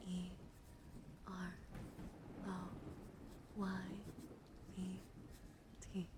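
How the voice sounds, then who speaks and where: A young woman hosts an ASMR livestream with clear noise behind her voice. She talks slowly at a medium pitch, close to the mic, slipping often into whispers. Her manner is playful and teasing, coddling her viewers as she chats. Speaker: live streamer.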